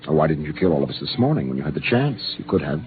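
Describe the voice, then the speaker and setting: An actor playing a stern but humane spaceship captain with an authoritative manner in a 1950s radio drama. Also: male voice, rumbling low, very animated, racing, exaggerated voice. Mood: weary, reasoning. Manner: authoritative, clipped orders. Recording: tinny old radio recording with hiss.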